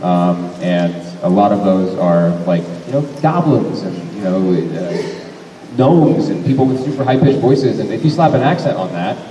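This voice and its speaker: A young man and voice actor chats at a fan convention Q&A, rambling and correcting himself as he goes. His voice is mellow and a little low. It comes from far off in a big hall with a long, echoing tail. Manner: rambling, self-correcting, laughs often. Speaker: voice actor panel guest. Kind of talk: convention Q&A panel talk. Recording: echoey hall, mic from far off, faint noise.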